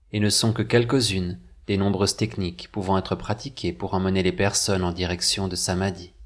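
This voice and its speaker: Low-pitched male meditation narrator. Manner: measured, deliberate phrasing with pauses. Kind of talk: scripted guided meditation narration.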